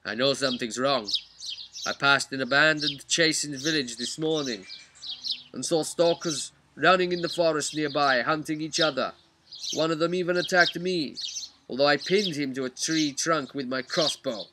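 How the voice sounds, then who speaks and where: A man's voice: fairly high pitch, moderate pace, noisy background, voice pushed up, natural voice. A man voicing a wary, plain-spoken trapper from the wilds in a tabletop fantasy game. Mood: grim.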